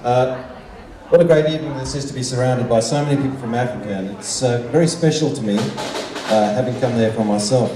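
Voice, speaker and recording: Older guy w doing a formal measured speech, really mellow voice, echoey and a bit far from the mic. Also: mid-range voice, soft background music. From Zimbabwe. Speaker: guest speaker, art teacher and children's author. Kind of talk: prepared speech to an evening gathering. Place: large indoor function room. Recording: roomy, echoing hall sound, distant microphone.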